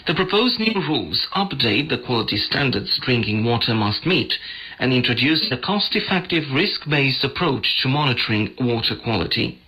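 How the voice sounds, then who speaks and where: Male news magazine host, crisp bright edge, scripted delivery, shortwave radio broadcast. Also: medium pitch, rough recording.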